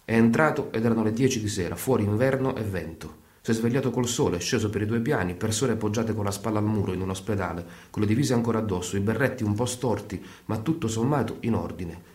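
A man's voice: lowish voice, quick pace, few pauses, a little mellow. A man narrating radio theatre as a storyteller, colloquial and dramatic, in clipped short phrases. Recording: roomy, echoing sound.

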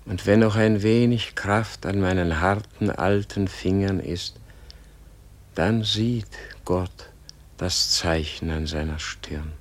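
Dying old holy man, low voice, very slow and halting, gentle, resigned, husky, radio drama. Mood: forgiving. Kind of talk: radio play acting.

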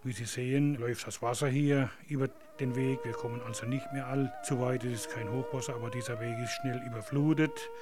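Calm male guide outdoors. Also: medium pitch, a little fast, few pauses, very noisy. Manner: calm, explanatory. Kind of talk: field reportage. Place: outdoors by the river.

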